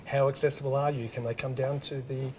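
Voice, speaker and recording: A man's voice: medium pitch, flat delivery, fast, darkish tone, slight background noise. A man hosting a live online whisky masterclass, upbeat and laughing often. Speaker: host.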